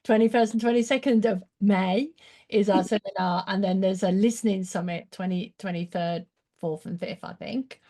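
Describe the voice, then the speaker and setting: Female talk host, effusive and enthusiastic; mellow, relaxed timbre. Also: medium pitch, moderate pace. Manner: effusive, gushing praise, animated. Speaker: show host. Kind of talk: casual interview chat.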